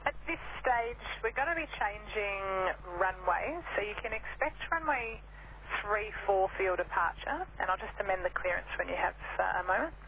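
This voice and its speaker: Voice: medium pitch, lively intonation, normal speed. An air traffic controller speaking clipped radio phraseology over an aviation radio link. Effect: aviation radio transmission. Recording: narrow-band, noisy air traffic radio.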